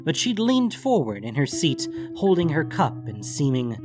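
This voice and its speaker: A man's voice: fairly high pitch, very animated, normal speed, music in the background. A man narrating scripted fiction as the storyteller of a fantasy audiobook.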